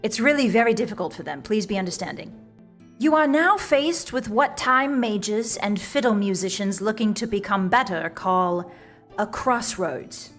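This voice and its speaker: Female narrator for comic fantasy game: arch, theatrical, very expressive pitch, soft music behind. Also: moderate pace. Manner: wry, arch, mock-grand storyteller. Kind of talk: theatrical comic visual-novel narration, second person.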